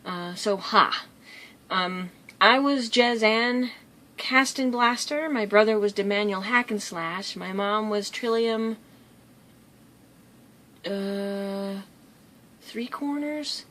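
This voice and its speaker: A woman's voice: mid-range voice, slow pace, pauses often, sharp tone. A woman vlogger telling stories in a casual, rambling way, easily drifting off track. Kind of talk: casual storytelling vlog.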